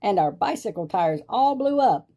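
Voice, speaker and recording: A man's voice: sits high, very animated, normal speed, mellow, pitched above the performer's own voice. A man voicing a tiny storybook mayor who complains fretfully and pleads for help. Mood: fretful, pleading. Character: tiny, plaintive mayor of a speck-sized town.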